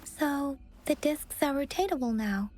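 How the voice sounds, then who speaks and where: High-voiced, studious young woman investigator. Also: unhurried, darkish tone, soft background music. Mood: polite, inquiring. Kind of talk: video game character dialogue.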